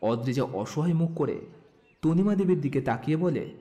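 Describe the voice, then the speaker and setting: Male story narrator, scripted delivery, warm dark tone, animated intonation. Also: a little high, normal speed.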